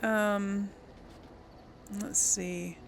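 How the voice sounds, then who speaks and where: A woman narrates her own game playthrough in a casual way. She takes her time, thinking aloud at a slow pace in a medium-pitched voice. Faint noise and game ambience sit behind her. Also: steady tone, creaky. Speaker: gaming video host.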